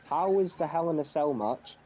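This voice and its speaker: A man's voice: fairly high pitch, lively intonation, moderate pace, mellow. A man speaking as a panelist on a wrestling podcast, in casual debate. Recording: dull lo-fi mic, faint noise.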